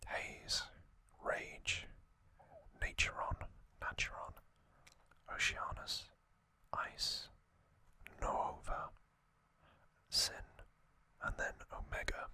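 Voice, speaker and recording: A man whispering ASMR commentary as the host of a trivia show, speaking in short, measured phrases. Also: pushed and bright, no echo.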